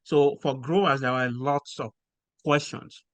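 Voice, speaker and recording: A man from Nigeria with a Nigerian accent, explaining things in a measured way with frequent pauses as a podcast guest. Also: mid-range voice, fairly even intonation, dry recording. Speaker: guest researcher. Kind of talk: podcast interview.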